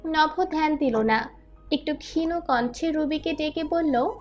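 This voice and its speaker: High-pitched woman narrating a story. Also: a little slow, lo-fi sound. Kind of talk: scripted dramatic story narration. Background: faint background music.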